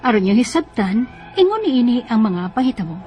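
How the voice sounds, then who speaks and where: An actress playing an earnest, eager nineteen-year-old working student with a Cebuano accent in a radio drama. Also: female voice, on the high side, big pitch swings, fairly quick, over loud music. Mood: formal storytelling. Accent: Cebuano Filipino. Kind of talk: Filipino radio drama acting and narration.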